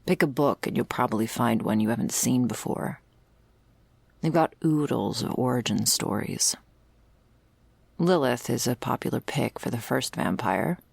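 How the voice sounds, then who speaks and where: A woman playing a jaded, sardonic vampire's servant in an intimate ASMR roleplay, talking in a wry, world-weary, gravelly way. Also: a bass voice, no echo, exaggerated voice. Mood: wry, cynical. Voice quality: very creaky, gravelly. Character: jaded, sardonic female vampire's servant explaining undead lore.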